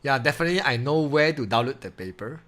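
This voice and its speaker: A man's voice: medium pitch, animated, fast, a bit bright, a little hiss or hum. A young man from Malaysia with a Malaysian English accent, chatting casually with students on a live-stream maths class, laughing often and talking in loose, easygoing grammar. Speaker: online maths tutor.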